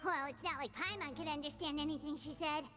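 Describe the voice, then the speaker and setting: A woman's voice: high voice, steady tone, fast talker, natural voice. A woman voicing a small, childlike fairy companion in a video game, chirpy and earnest, speaking of herself in the third person. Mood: curious. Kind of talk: video game character acting. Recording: music playing behind the voice.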